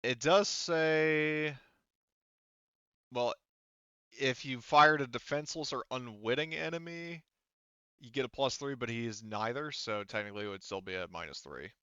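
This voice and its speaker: A man's voice: medium pitch, normal speed, bright, forceful tone, clean tone, dry recording, highs cut off. A man acting as game master, talking casually as he referees and narrates a tabletop game and explains rules.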